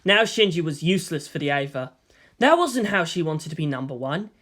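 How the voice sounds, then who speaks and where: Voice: mid-range voice, lively intonation, fairly quick, fairly bright tone. A narrator giving a dramatic scripted reading of a story.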